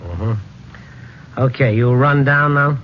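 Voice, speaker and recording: Actor as wry radio-drama investigator, muffled old recording. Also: male voice, medium pitch, slow, slight background noise, close to an everyday voice. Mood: placating. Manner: wry, colloquial. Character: world-weary male investigator narrating his own tale, easygoing. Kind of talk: old-time radio drama acting and narration.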